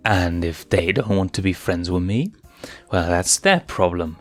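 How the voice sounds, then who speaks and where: A man's voice: deep voice, animated, unhurried, soft background music. A man voicing a cocky young male lion cub prince in a storybook, breezy and self-assured. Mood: breezy, proud.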